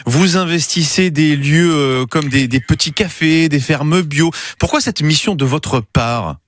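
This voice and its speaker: A man's voice: fairly high pitch, unhurried, dry recording. Male radio interviewer, dry studio sound. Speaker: radio host interviewing a guest. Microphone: close studio microphone.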